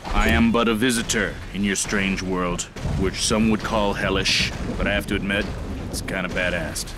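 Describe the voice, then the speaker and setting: An actor playing a cocky, wisecracking heavy-metal roadie in a video game, talking in a casual, wry, slangy way. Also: male voice, sits low, steady tone, normal speed, noisy background, lightly acted. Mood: awkward, casual. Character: brawny laid-back heavy-metal roadie, cocky, wisecracking adult man. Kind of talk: video game cutscene acting. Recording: loud game music behind.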